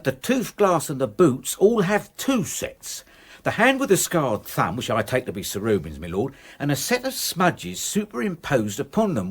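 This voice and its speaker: An actor playing a deferential, meticulous English manservant in a radio drama, speaking formally and precisely. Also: male voice, a little high, slightly rough, heavily acted.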